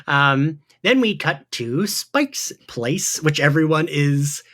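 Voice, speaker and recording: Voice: medium pitch, lively intonation, takes it slowly, fairly bright tone. A podcast host in casual recap chat, giggly, peppering sentences with "like". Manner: giggly, frequent filler "like".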